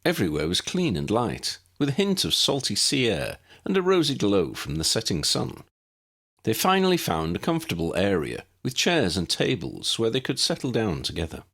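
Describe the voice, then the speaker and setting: A man reading scripted story narration in a measured, expressive storytelling manner. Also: on the low side. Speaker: audiobook narrator and author.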